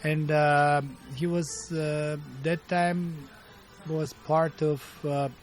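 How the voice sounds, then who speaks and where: A man in his fifties from Bulgaria, speaking English with a Bulgarian accent as a martial arts teacher being interviewed, pausing often to search for words. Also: on the high side, little pitch movement, faint music behind. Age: 50s. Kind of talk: interview storytelling.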